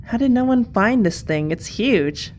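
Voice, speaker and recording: A woman's voice: a little high, lively intonation, a little slow, darkish tone, a little hiss or hum. A woman playing a wary teenage girl hero in an audio drama, casual and dryly sarcastic. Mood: curious, puzzled. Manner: casual, dry, questioning.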